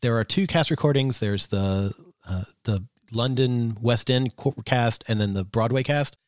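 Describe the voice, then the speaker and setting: A man co-hosting a podcast, chatting in a relaxed way, with frequent fillers and the odd swear. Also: mid-range voice, a little slow, no echo, studio quality. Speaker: podcast co-host. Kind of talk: casual chat.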